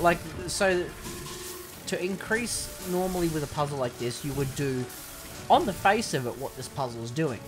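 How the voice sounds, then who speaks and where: A young man commentating on a video game in a sarcastic, sweary way, with sudden excited outbursts. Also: fairly high pitch. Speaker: gaming commentator. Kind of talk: let's play commentary.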